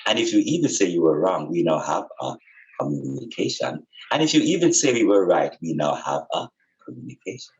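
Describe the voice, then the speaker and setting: A man's voice: low-pitched, very animated, fairly quick, darkish tone, lo-fi sound, faint music behind. A man speaking as a spiritual teacher in conversation, earnest and emphatic, repeating phrases for effect.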